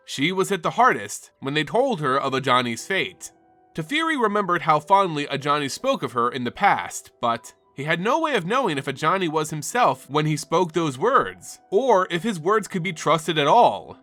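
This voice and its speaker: A man's voice: on the high side, bright, forceful tone. A man hosting and narrating a fantasy lore show, reading a script in a dramatic, emphatic storytelling manner. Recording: background music under the voice. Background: music.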